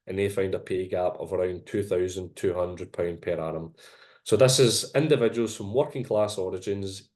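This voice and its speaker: A man from Glasgow with a strong Glaswegian accent walks through his research findings. His voice sits fairly low and he explains each point in a measured, steady way. It sounds like a video call. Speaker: presenting researcher. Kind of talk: academic seminar presentation.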